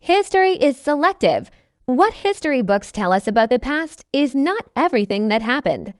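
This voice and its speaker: A woman reads prepared text aloud in a very high voice with lively, swinging intonation. Her delivery is measured and instructional, and the sound is dry and close. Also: no echo. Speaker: narrator of English test practice passages. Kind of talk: scripted read-aloud.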